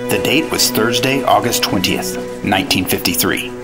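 A man's voice: lowish voice, normal speed, natural voice. An actor playing an earnest FBI agent, narrating his case in clipped, matter-of-fact 1950s radio drama style. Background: prominent music.

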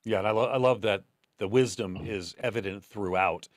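A man's voice: on the low side, normal speed, no echo. A man in his fifties hosting a podcast interview in a studio, talking in a loose, conversational way with restarts. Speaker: podcast host. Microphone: desk boom mic.

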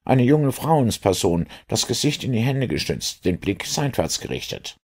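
An eager police inspector in a detective audiobook, acted out by a male reader. His voice is lifted well above the reader's deep one and is a bit husky. He sounds earnest, puzzled and respectful, full of questions. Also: big pitch swings, moderate pace, darkish tone.

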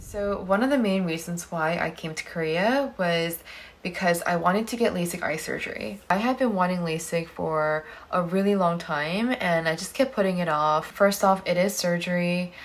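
A woman's voice: fairly low pitch, normal speed. A young adult woman, chatting casually as a vlogger. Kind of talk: casual vlog chat. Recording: music laid loudly under the voice. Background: music.

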